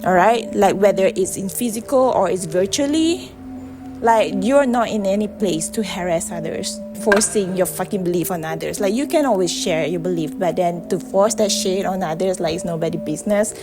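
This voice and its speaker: A blunt, outspoken woman with a Malaysian accent talks straight to her viewers in a casual vlog, swearing freely and pressing her points hard. Her voice sits at an ordinary middle pitch for a woman. Clear background music runs under her the whole time. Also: normal speed. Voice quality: blunt, profane, emphatic. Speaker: vlogger. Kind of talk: casual direct-to-camera monologue.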